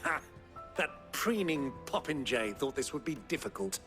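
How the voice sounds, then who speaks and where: A crafty older British nobleman for a video game. He speaks in lively, sweeping intonation with pompous, ornate phrasing and sly relish, over background music. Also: on the high side, normal speed. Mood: smug, amused. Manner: pompous, florid, sly diction.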